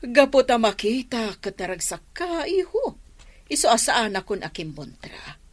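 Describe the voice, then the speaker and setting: A woman's voice: on the high side, big pitch swings, unhurried. A woman with an Ilocano accent playing a kindly older mother in a radio drama.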